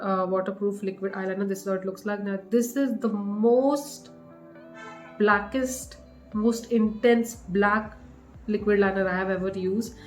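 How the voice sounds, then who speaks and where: A young woman with an Indian English accent, her voice a little groggy from a cough, chatting casually through a makeup review with plenty of fillers and restarts. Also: mid-range voice. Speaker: beauty vlogger. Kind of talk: casual product review to camera. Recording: echoey room with faint music.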